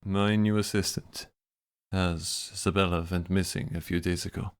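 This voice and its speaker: A man acts out a grave man of foggy Victorian London. His voice sits very low, and he speaks in a measured, guarded, fatalistic way. It is radio drama, with music playing behind him. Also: little pitch movement, clean tone.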